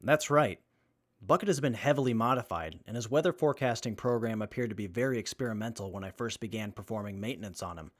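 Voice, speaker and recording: A man's voice: fairly low pitch, quick pace, close to an everyday voice. A man playing an analytical wasteland robot mechanic who explains things precisely in an audio drama. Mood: explanatory.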